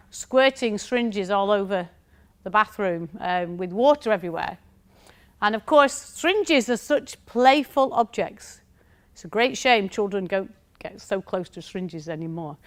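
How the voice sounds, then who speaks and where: A woman's voice: medium pitch, lively intonation, moderate pace, fairly bright tone. A woman from the north of England with a northern English accent, giving a chatty, anecdotal conference talk in a lecture room and often checking in with the audience. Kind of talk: conference presentation.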